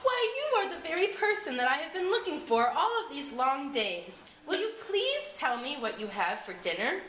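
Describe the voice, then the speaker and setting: Little elephant child, acted by a woman, high bright voice, polite, wide-eyed questioning, storybook tale, roomy echo. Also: very animated, normal speed, a little hiss or hum. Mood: eager, polite.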